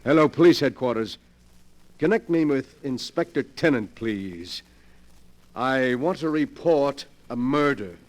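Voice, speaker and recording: An actor playing a cunning, suave gentleman schemer in 1940s radio mystery style, with a smooth, soothing, condescending and deliberate way of talking. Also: medium pitch, lively intonation, natural voice. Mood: feigned regret.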